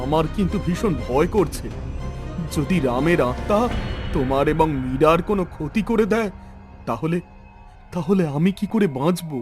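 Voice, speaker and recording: A fretful father, acted by a man, speaks in a fairly high, very lively voice full of worry. It is cartoon story dubbing with some music behind. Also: normal speed, pauses often, lightly acted. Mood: fearful. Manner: agitated, anxious. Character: frightened, fretful father of a troubled daughter.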